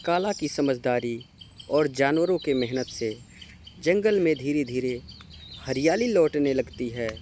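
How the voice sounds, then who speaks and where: A man's voice: medium pitch, animated, a little slow, a little mellow, a little hiss or hum. A man narrating a scripted children's animal story as storyteller.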